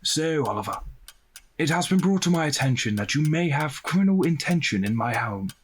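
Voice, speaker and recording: An actor playing a courteous, kindly, well-to-do gentleman in an audio drama, polite and a little hesitant. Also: on the high side, sing-song, a little fast, slightly rough. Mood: earnest questioning.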